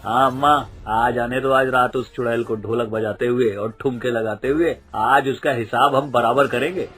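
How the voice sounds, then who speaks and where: A man acting out an excitable, curious young man in a cartoon story, in an animated, exclaiming manner. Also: medium pitch, moderate pace, slightly rough. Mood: defiant. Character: curious, excitable young male friends lost in forest.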